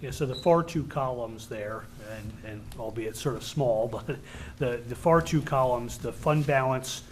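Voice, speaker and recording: Average-pitched male voice, methodical, precise financial explanation, slight meeting room noise. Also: a little slow. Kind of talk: explaining columns of a financial report. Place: town board meeting room.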